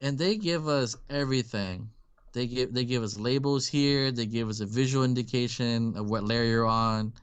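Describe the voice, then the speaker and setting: A man's voice: mid-range voice, normal speed, clear, resonant tone. A man from Dallas, a guest artist chatting casually on a live drawing stream, rambling and swearing now and then. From Dallas, Texas.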